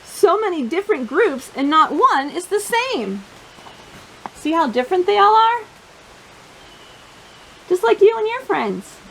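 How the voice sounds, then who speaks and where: A woman hosting a children's storytime, reading a picture book in a playful, sing-song, expressive way and talking directly to young listeners. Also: extremely high pitch, a little slow, vocal fry, long pauses, slight background noise, low-quality recording.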